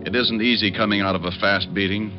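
An actor with an American accent playing a suave, wisecracking private detective in a 1950s radio drama. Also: male voice, on the low side, fairly even intonation, over loud music, natural voice. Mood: wry narration. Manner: wry, cool, quick-witted. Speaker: lead actor and narrator. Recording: dull old radio recording.

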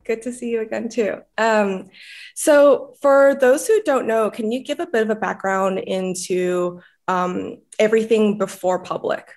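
Casting: female podcast host, medium pitch, conversational interviewer. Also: steady tone, a little slow, a little mellow.

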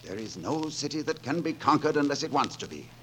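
An actor playing a gallant, roguish gutter poet and leader of rabble in a theatrical, declamatory 1940s radio drama style. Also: male voice, on the high side, sing-song, fairly quick, bright, forceful tone, heavily acted. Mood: rousing, defiant. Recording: 1940s radio broadcast with music behind.

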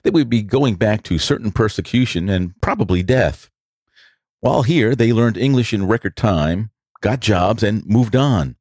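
A man's voice: fairly low pitch, animated, moderate pace, a little mellow, slightly rough, dry recording, clean studio sound. An older man with a New York accent, hosting a podcast and giving blunt, sarcastic opinion commentary. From New York City. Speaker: podcast host.

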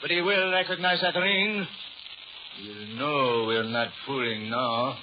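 A man's voice: mid-range voice, slow pace, natural voice. Man acting hard-boiled brutal gangster boss. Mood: cold threat. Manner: curt, hard-boiled, slangy. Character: brutal, cold-blooded male gangster boss. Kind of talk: 1930s radio horror acting. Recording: scratchy, muffled 1930s radio recording.

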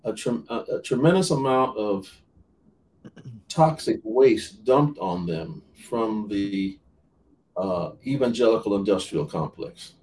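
A man's voice: fairly low pitch, expressive, slow, a little mellow, distant mic, a little hiss or hum, rough recording. An earnest male minister talks through his points in a podcast interview. He stumbles, restarts and repeats words as he goes. His voice sits a little back from the microphone.

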